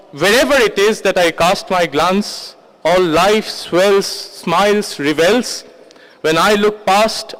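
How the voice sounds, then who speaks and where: A man's voice: high-pitched, fairly bright tone, exaggerated voice, poor audio. A man reciting poetry as a wrathful god who reveals a vast cosmic form, in a solemn, commanding, declamatory manner. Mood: grand, menacing.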